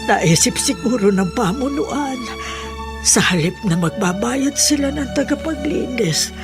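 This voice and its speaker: An actress playing a gentle, resigned older aunt in a Filipino radio drama, speaking in a halting, plaintive way. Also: female voice, a little high, lively intonation, music in the background. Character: older aunt, cured former leprosy patient, gentle and resigned.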